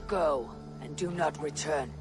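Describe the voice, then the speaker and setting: An actress playing a viking clan leader who speaks in a measured, authoritative way. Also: female voice, sits low. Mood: commanding. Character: female viking clan leader, calm and commanding. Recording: game cutscene over soft music.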